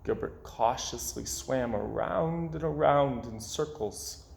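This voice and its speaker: A man reading a children's storybook aloud in an expressive style, with dramatic pauses and drawn-out words. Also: mid-range voice, creaky. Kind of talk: expressive read-aloud narration. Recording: roomy, echoing indoor sound.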